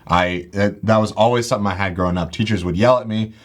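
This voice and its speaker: A man with a loud voice hosting a casual podcast chat, eager and affirming. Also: fairly low pitch, quick pace.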